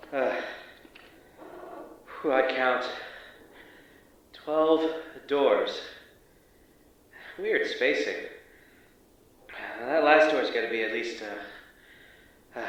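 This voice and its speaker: An actor playing a weary, grumbling field agent in an audio drama, giving casual, halting spoken reports. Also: male voice, mid-range voice, fairly bright tone. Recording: very echoey, distant, faint noise.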